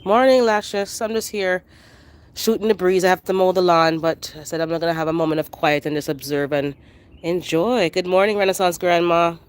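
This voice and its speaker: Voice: medium pitch, steady tone, moderate pace, smooth. A stuffy, nasal-sounding gardener talks through a phone camera in the backyard. The chat is loose and rambling, wandering from topic to topic in an easygoing way. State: congested, nasal from a head cold. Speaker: gardening livestream host. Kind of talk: casual live chat with viewers.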